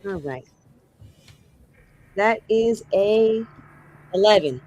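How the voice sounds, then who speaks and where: Expressive, sweary man playing a tabletop game. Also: sits high, drawn-out words, highs cut off. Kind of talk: casual game chat. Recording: faint background noise.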